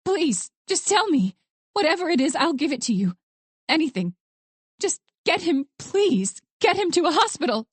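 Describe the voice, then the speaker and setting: Narrator as frightened female captive, raised pitch, breathless, desperate, pleading, soft-edged tone, thriller audiobook. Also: unhurried, few pauses, no echo. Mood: panicked pleading. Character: terrified, pleading young American wife held captive.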